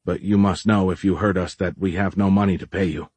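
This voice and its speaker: A man's voice: low voice, steady tone, a little fast, a little mellow, slightly rough, no echo. A rattled, foul-mouthed man on the run, acted out by a male narrator. He sputters and frets in a flustered way, captured clean and dry like an audiobook. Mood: nervous pleading.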